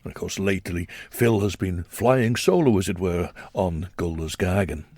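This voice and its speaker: A man giving measured, scripted radio narration as a documentary storyteller. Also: low-pitched, darkish tone, dry recording, clean studio sound.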